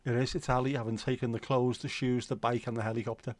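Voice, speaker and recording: A middle-aged Scouse man hosts a relaxed livestream, bantering with viewers and swearing casually as he tells stories. His voice sits at an ordinary middle pitch, and the sound is close and dry with no room around it. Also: quick pace, dry recording. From Liverpool, England. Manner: banter-filled, sweary, jokey. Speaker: livestream host. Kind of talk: casual livestream chat reading viewer comments.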